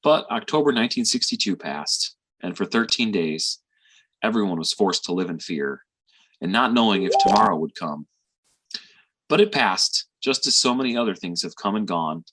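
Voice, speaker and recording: Guy playing a tired gentle doctor dad in a play, lowish raspy voice, pauses a lot, reflective. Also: animated, full character voice, rough recording. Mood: tender, earnest. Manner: reflective, halting with sighs and pauses. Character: weary, tender middle-aged physician father consoling grown daughter. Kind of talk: dramatic stage monologue.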